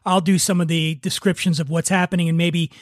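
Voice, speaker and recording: A man's voice: on the high side, little pitch movement, fairly quick, no echo, studio quality. A man hosting a casual comedy podcast, chatting with a joking, teasing manner. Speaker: podcast host.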